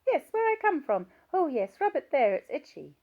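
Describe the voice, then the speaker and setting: A woman's voice: high voice, sing-song, moderate pace, phone-quality sound, pitched above the performer's own voice. A woman voicing a middle-aged hospital patient who talks in a whiny, childish, pleading way. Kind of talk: audiobook character voice.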